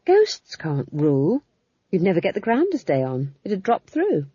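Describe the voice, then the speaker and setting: A woman's voice: lowish voice, huge pitch swings, measured pace, warm tone, breathy edge, no echo, dull audio, exaggerated voice. A woman voicing an earnest, insistent young witch, reading for an audiobook. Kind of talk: audiobook character voice.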